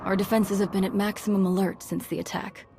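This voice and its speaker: An actress playing a grave, gracious senator, speaking formally and in a measured, earnest way. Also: female voice, mid-range voice, little pitch movement, creaky, noisy background, natural voice. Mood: somber concern. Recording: game music behind the voice.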